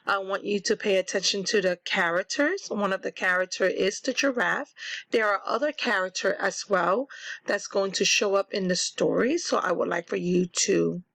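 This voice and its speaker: A woman teacher reading a storybook to children and explaining it simply, in a deliberate, instructive way. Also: medium pitch, gravelly, fairly bright tone, no echo.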